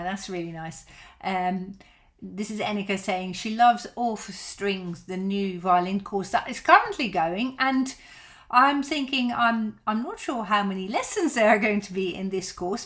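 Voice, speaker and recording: A woman's voice: mid-range voice, lively intonation, unhurried, a bit bright. A middle-aged woman whose first language is Dutch, a violin teacher chatting on a live stream and answering viewer questions in a reassuring way.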